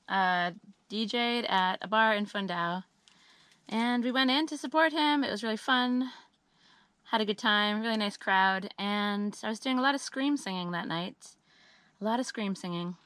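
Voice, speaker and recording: A woman giving a chatty, upbeat monthly update on her homestead work, her voice a little scratchy and hoarse, with frequent ums and uhs. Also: fairly high pitch, a little slow, bright, forceful tone, no echo. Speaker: homesteading vlogger. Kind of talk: casual monthly update vlog.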